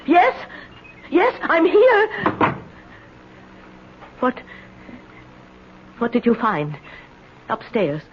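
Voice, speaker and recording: A woman's voice: sits high, huge pitch swings, drawn-out words, pauses often, exaggerated voice. An actress playing an older, timid, lonely spinster in a radio drama, faltering and plaintive as she repeats herself. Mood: bewildered. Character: frantic, lonely retired spinster, timid and pleading. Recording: muffled, hissy old radio recording.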